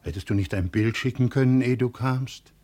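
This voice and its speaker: A man's voice: medium pitch, normal speed, a little mellow, natural voice. An actor playing a sober, plain-spoken village man in a terse manner in a 1950 radio play. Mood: mildly reproachful. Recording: vintage 1950 radio recording.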